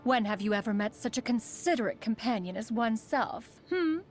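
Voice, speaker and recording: A woman's voice: fairly high pitch, animated, fairly quick, a little mellow, soft background music, close to an everyday voice. A woman playing a proud, aloof immortal adept who secretly cares, speaking in formal, archaic phrases as a video game character. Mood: proud, reflective. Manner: haughty, archaic formal speech, refers to self as "one".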